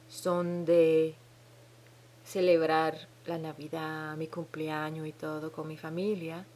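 A woman with a Dominican-tinged Spanish accent hosts her own podcast alone, speaking slowly in a somewhat low voice. She hesitates often, restarting phrases as she searches for the right words. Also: a little mellow. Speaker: podcast host. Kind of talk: solo podcast monologue. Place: home in New Zealand.

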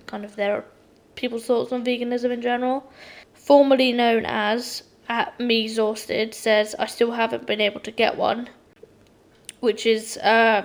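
A young woman talks alone on her podcast in a casual, rambling way. Her voice is croaky because she is unwell, and it sits a little higher than most. She fills pauses with ums and restarts her sentences often. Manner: chatty, with many ums and restarts. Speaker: podcast host. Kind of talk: casual solo podcast monologue.

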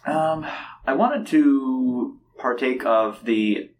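A young man in casual podcast conversation, telling stories in a chatty, animated way. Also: mid-range voice, very slow, slight background noise, rough recording. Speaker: podcast host. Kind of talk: casual chat.

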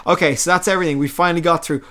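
A man's voice: a little high, fairly even intonation, racing, a bit bright. A man, chatting casually and enthusiastically as a music podcast host reviewing albums.

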